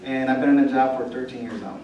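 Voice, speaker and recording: A man's voice: medium pitch, flat delivery, quick pace, lo-fi sound. A man in his thirties from Mexico and Milwaukee, a police officer, casually introducing himself. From born in Mexico, grew up in Milwaukee. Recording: echoey hall, distant mic, loud noise.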